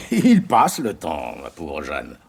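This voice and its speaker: An actor playing a touchy, grumbling factory boss nearing fifty in a French radio play. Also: male voice, rumbling low, wildly swooping pitch, slow, husky, full character voice. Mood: defensive, weary. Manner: blustering, grumbling.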